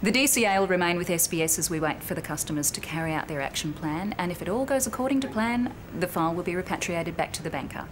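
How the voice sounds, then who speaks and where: A woman playing a composed, firm but sympathetic bank officer in a naturalistic training drama, speaking in a measured, explanatory way. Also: fairly low pitch, lightly acted. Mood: businesslike, reassuring. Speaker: actor in a corporate training drama. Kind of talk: naturalistic acted workplace dialogue. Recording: clearly noisy location sound with room ambience.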